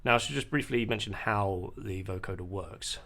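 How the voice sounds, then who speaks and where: Low-voiced man giving a hesitant tutorial. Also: sharp tone. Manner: hesitant, explanatory, pausing mid-sentence. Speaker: music producer giving a tutorial. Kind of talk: unscripted screen-recorded software tutorial. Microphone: voice-over on a screen recording.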